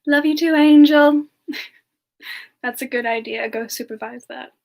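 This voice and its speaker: A sweet, affectionate demon woman, played by a female streamer, with a high voice and an unhurried pace. She chats in a giggly, fond way, as in a semi-ASMR livestream. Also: vocal fry, heavily acted. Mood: cheerful, fond. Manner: gently giggly, affectionate, calls listeners darling. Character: Frostbyte, sweet affectionate demon woman, internet slang novice.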